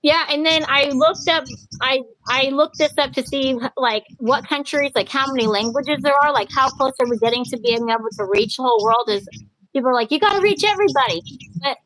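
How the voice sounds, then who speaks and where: Giggly forties lady running a livestream chat, lots of "like", high voice. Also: fairly quick, fairly bright tone, slight background noise, poor audio. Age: 40s. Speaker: livestream host.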